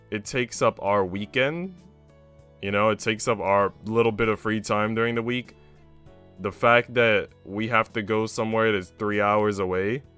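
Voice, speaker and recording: A man's voice: fairly low pitch, fairly bright tone, smooth. A man chatting casually on a stream in a conversational, slangy way. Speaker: streamer, works at a school. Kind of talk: casual stream chat between visual novel readings.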